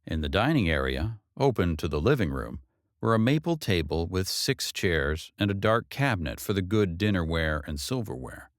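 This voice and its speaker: Deep-voiced man narrating a novel, expressive range. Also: moderate pace, no room sound, clean studio sound. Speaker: audiobook narrator.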